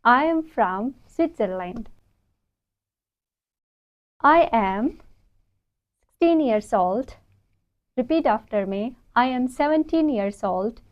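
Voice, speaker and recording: A woman teaching spoken English, delivering model sentences deliberately, phrase by phrase, for learners to repeat. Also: a little high, expressive, lo-fi sound.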